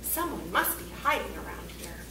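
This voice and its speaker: A woman's voice: lowish voice, big pitch swings, moderate pace, a crowd in the background, voice pushed down. A woman voicing an inquisitive, startled male night watchman in a storybook, with emphatic questions. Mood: surprised, curious. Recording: roomy, distant mic, clearly noisy background.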